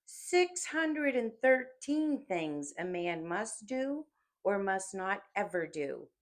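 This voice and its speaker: A woman voicing a scoffing male devil with grand, theatrical mockery in a dramatic audiobook reading. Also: lowish voice. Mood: mock surprise.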